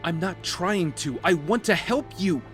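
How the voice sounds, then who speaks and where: A man's voice: high voice, lively intonation, unhurried, over music. A man playing a troubled, protective young older brother in an audio drama, earnest and urgent. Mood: tense, pleading.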